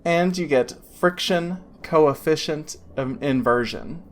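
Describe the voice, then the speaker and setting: Woman game master narrating a tabletop session, casual and rambling with steady fillers, faint noise behind. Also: deep voice, takes it slowly. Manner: loose, full of "like" and "kinda" fillers.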